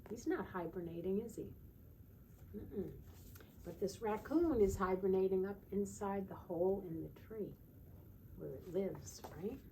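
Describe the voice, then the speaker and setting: Woman, children's storytime teacher. Soft-edged mellow tone, sing-song read-aloud with little questions to the listeners, slight background noise. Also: medium pitch, animated, a little slow, rough recording.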